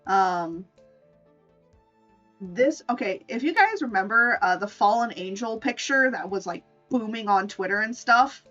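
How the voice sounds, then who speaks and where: A woman's voice: mid-range voice, animated, measured pace, fairly bright tone, recorded from a distance, lo-fi sound, soft background music. A woman chatting casually and informally on a livestream as she shows off her art, playful and free with swearing. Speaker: streamer, VTuber artist. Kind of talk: casual livestream chat showing drawings.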